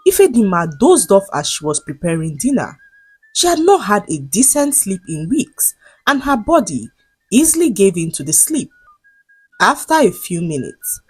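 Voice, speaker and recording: A woman narrating a scripted folktale as a storyteller, with dramatic, measured delivery. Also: lowish voice, husky, no room sound.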